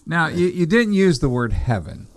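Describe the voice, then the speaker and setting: A man's voice: fairly high pitch, very animated, moderate pace, no echo. A middle-aged man hosting a morning radio show, chatty and joking, with many fillers. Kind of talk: casual radio interview. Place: radio studio.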